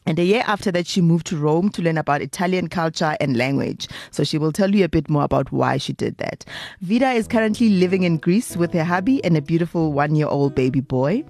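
A woman hosts a travel podcast, chatty and quick to laugh. Her voice sits a little low and sounds dry and close, with no room around it. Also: animated, darkish tone, no room sound. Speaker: podcast host.

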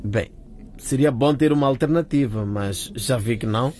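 An actor playing an excitable, teasing student friend in a radio drama, full of interjections. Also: male voice, medium pitch, moderate pace, slight background noise, lightly acted. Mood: wry. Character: film-obsessed male student, reluctant but loyal friend.

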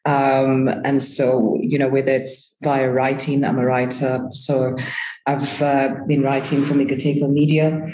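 A woman's voice: very deep voice, flat delivery, a little slow, mellow, rough recording. A woman with a South African accent talking casually as an interview guest, often circling back and correcting herself as she explains.

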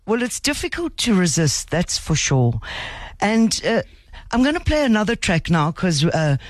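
A radio host interviewing a guest with enthusiasm, often answering with a quick "yes". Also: mid-range voice, big pitch swings, dry recording.

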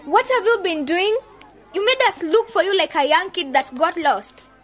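A woman acting a bossy, focused young aspiring journalist in a radio drama, brisk and scolding. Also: extremely high pitch, expressive, a bit bright, faint music behind, a faint crowd. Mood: scolding, incredulous.

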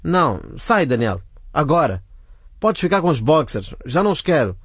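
A man's voice: a little high, very animated, normal speed, breathy edge, dry recording, studio quality. A man playing an indignant young man scolding his roommate in a radio drama, with an emphatic delivery. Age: young adult.

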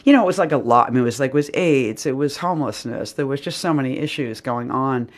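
A woman's voice: very deep voice, animated, quick pace. A woman with a Boston accent, a poet talking casually in an interview, rambling with lots of "you know" and "like".

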